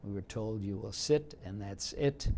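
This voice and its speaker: A man in his seventies from Detroit, whose first language was Yiddish, recalling his past in an unhurried oral history interview with frequent fillers. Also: on the low side, creaky, darkish tone, a little hiss or hum. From Detroit, United States. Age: 70s.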